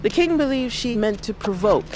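Actress as low-voiced, solemn old grandmother. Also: animated, background noise, close to an everyday voice. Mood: grave, measured. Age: older. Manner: solemn, deliberate, commanding. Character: fierce, proud old grandmother, poison master of a fantasy tribe. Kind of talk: audio fiction drama acting. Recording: loud music behind the voice.

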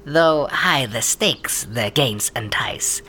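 A woman's voice: rumbling low, animated, slow, bright, forceful tone, a little hiss or hum, exaggerated voice. A woman voicing a terse, intense, cryptic non-human seer with claws and carved runes, in a fantasy audio drama. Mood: solemn, cryptic. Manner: cryptic, terse, speaks in riddling verse.

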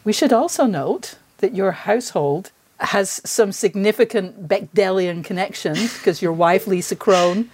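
A woman's voice: medium pitch, unhurried, hardly any pauses, darkish tone, husky. A woman interviewer talking conversationally and with enthusiasm as a podcast host.

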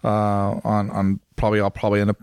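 A man's voice: fairly low pitch, monotone, fast, a little mellow, smooth, no room sound. Rambling man podcast co-host, casual and self-deprecating, very dry close sound. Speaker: podcast co-host, motorcycle news site owner. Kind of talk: casual chat.

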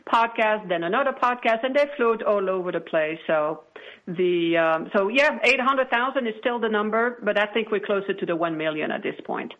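A woman with a mid-pitched voice talks as a podcast guest. She is conversational but assertive, stressing her points firmly. The audio is a little dull and lo-fi. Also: lively intonation, moderate pace. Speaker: podcast producer, interview guest. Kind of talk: conversational interview.

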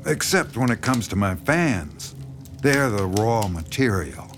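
Lowish voiced horror author, folksy but creepy and knowing, radio play with music behind. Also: male voice, sing-song, measured pace. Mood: knowing, ominous. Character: smooth-talking, subtly sinister, self-assured male horror writer. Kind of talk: naturalistic audio drama dialogue.

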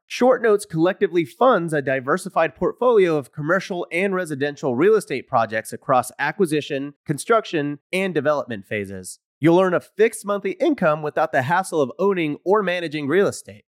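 A man reading sponsor ads in a smooth, upbeat delivery. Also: fairly high pitch, no echo, clean studio sound. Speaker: podcast co-host reading ads.

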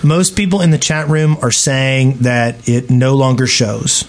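A man's voice: medium pitch, fairly even intonation, measured pace, a little hiss or hum. A man co-hosting a gaming podcast, chatting casually. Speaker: podcast co-host.

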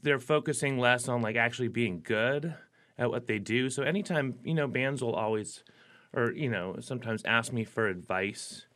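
A man's voice: mid-range voice, normal speed, fairly bright tone. A man, a musician, talking casually in an interview, filling his sentences with "like" and "you know.". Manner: rambling, lots of "like" and "you know". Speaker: musician guest.

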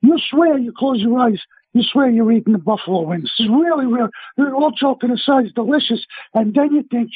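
A high-voiced man with a thick Brooklyn accent talks over a tinny phone line. His voice is husky, and his delivery is punchy and sales-pitchy as he banters with a radio host. The sound is dry and close. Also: fairly quick, dry recording. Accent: Brooklyn New York. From Brooklyn, New York. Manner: chatty, emphatic, salesman-like banter. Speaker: celebrity chef guest. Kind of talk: casual phone-in radio chat. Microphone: telephone.

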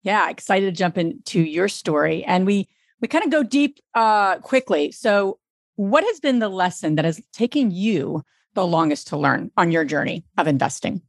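A woman co-host opens the podcast with upbeat energy. Her voice sits at a middle pitch and sounds dry and close, with no room around it. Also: expressive, creaky, no echo. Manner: upbeat, quick. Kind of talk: podcast hosting.

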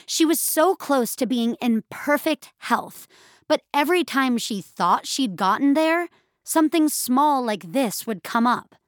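A woman narrating scripted audio fiction in a measured, expressive storytelling style. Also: high voice, no room sound, clean studio sound.

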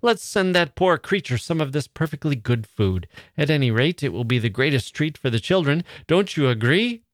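Fussy upper-class mother, high and exclaiming, put on by a male reader; bossy, dry close audio. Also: animated, normal speed, few pauses, no echo, lightly acted. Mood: eager.

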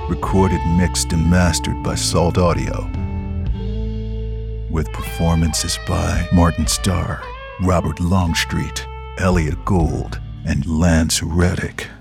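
Low, slow-reading man announcing credits over music. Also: flat delivery, gravelly. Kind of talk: scripted credits narration.

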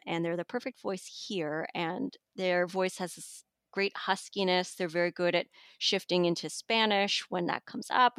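Enthusiastic woman reviewer, lowish voice. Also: fairly bright tone, no echo, clean studio sound. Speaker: audiobook magazine editor and reviewer. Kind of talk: conversational book review interview.

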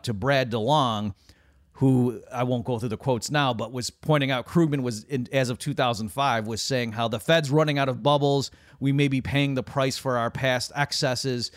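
An animated man co-hosting a conversational talk show. His voice sits at an ordinary middle pitch, and he slips into quick put-on voices when he quotes others. The recording is dry and close, with no room sound. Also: dry recording. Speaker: co-host. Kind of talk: conversational podcast discussion.

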